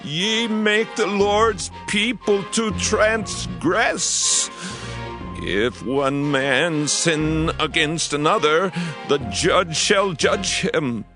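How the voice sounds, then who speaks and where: Really old priest, grieved, high voice, draggy halting words, dramatized bible w music behind. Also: male voice. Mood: grieved reproach. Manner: slow, halting, drawn-out delivery. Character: very old frail priest, grieved, reproving father. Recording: loud music under the voice.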